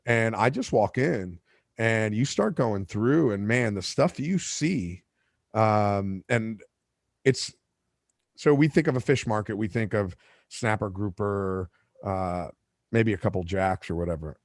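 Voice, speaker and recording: A man's voice: lowish voice, unhurried, no echo, studio quality. A man whose first language is Spanish, hosting a podcast and telling a long story in a casual, rambling way with lots of asides and restarts. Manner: rambling, self-correcting, with asides. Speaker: podcast host.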